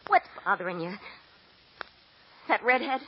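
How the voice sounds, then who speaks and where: An actress playing a soft, coaxing young woman, the girlfriend of a small-time crook, in 1940s radio acting. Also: mid-range voice, lively intonation, a little slow, slight background noise, natural voice. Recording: dull lo-fi old radio recording.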